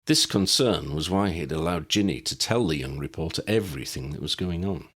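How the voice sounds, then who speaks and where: A man reading his own story aloud as an audiobook narrator, with an expressive storytelling manner. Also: rumbling low, brisk, slightly rough.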